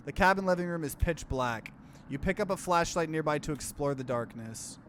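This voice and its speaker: Higher-pitched man narrating a let's play. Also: animated, moderate pace, fairly bright tone, slight background noise. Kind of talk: let's play, reading game text aloud with reactions.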